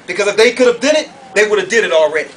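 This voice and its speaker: A male preacher with a notably high-pitched voice delivers a fiery, confrontational sermon. He leans on emphatic rhetorical questions as he addresses his audience. The recording is picked up from a distance with some background noise. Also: expressive, racing, fairly bright tone. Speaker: preacher, conference speaker. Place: conference room or hall. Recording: distant mic, noisy room ambience.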